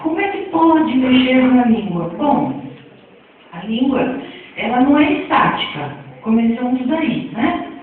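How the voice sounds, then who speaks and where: A woman with a São Paulo accent lectures in a fairly high voice, checking in with her listeners every few phrases. She is heard from across a very echoey hall. The sound is thin and phone-like, with a faint hiss underneath. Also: big pitch swings, a little slow. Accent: São Paulo Portuguese. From Brazil. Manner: chatty, checks in often with tags. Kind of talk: classroom lecture. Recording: tinny distant echoey hall recording.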